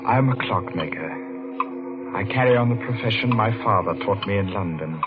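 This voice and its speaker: Man playing a deep, haunted middle-aged clockmaker. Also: animated, moderate pace, natural voice. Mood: brooding. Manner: brooding, hesitant in conversation. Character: haunted, vengeful middle-aged London-born clockmaker, narrating his story. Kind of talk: 1940s radio mystery narration and acting. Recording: dull old radio recording, loud music.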